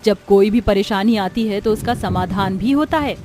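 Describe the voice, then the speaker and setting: A woman voicing a resourceful young daughter-in-law in an animated moral story, brisk and encouraging. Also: mid-range voice, music in the background, lightly acted.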